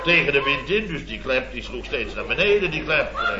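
A man's voice: mid-range voice, very animated, fairly quick, noisy background, close to an everyday voice. A Dutch man acting a blustering, self-important building-firm boss in a radio comedy, rambling indignantly. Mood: aggrieved. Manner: indignant, rambling storytelling with tag words. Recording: dull, echoey vintage radio recording with audience.

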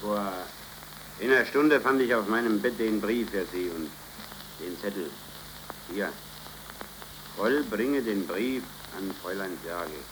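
An actor playing an earnest, troubled working-class man in a 1930s radio drama, with a halting, deliberate delivery. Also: fairly low pitch, lightly acted. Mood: worried, grave. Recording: crackly, hissing 1930s radio recording.

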